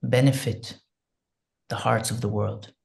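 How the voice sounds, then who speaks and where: Slow gravelly Israeli man, soft-spoken. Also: lowish voice, fairly even intonation. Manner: soft, hesitant, searching for words, meditative. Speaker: interview guest, singer. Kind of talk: slow reflective interview talk with a spoken prayer.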